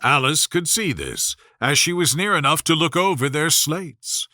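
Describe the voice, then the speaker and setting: A male audiobook narrator reads a children's bedtime story from a script. His voice sits fairly high and is very bright. The intonation is animated, and the sound is dry and close. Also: normal speed, no echo.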